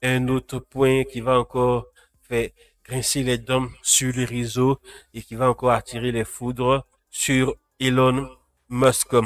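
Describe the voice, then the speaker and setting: Man with a cold, flat delivery. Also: mid-range voice, slow, dry recording, studio quality. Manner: talks to live chat viewers, frequent restarts. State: has a cold. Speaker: tech news live streamer. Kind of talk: casual live tech news roundup.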